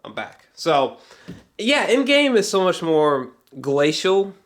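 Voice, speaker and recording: A man giving a casual, unscripted vlog review as an excitable, sweary movie fan who rambles, repeats himself and trails off mid-thought. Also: sits high, takes it slowly. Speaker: amateur movie reviewer vlogger.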